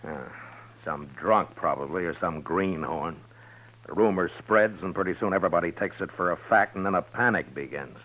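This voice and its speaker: An American actor playing a steady, skeptical middle-aged marshal in a 1950s radio western, speaking with dry, unhurried authority. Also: male voice, deep voice. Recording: muffled, noisy 1950s radio recording.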